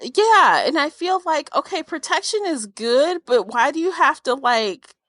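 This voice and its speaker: A woman's voice: high voice, sing-song, unhurried, fairly bright tone, no echo. Blunt, opinionated woman in a dry recording. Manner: blunt, opinionated, informal with mild swearing. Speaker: podcast co-host. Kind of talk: casual book discussion.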